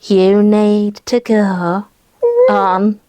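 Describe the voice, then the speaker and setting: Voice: medium pitch, level tone, drawn-out words, dark tone, no room sound. A performer voicing a teasing, childlike puppet sidekick in a children's show.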